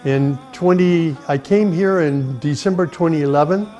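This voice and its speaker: A man's voice: fairly high pitch, dull audio. Earnest American man telling his story. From United States. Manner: earnest, unhurried, with pauses and restarts. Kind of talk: personal testimony, reflective storytelling. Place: Saudi Arabia. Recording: soft music behind the voice.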